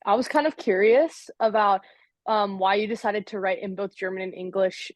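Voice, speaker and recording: A woman's voice: medium pitch, moderate pace, dry recording. A woman student hesitantly asking a question from the audience, with restarts.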